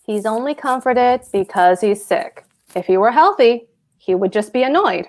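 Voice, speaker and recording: A pompous government clerk played by a woman lays down the rules of duty in a higher-pitched voice. The delivery is emphatic and declamatory, as in a stage play read aloud. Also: animated, normal speed, darkish tone, close to an everyday voice. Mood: pedantic, blunt. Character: pompous, dutiful male government clerk and husband.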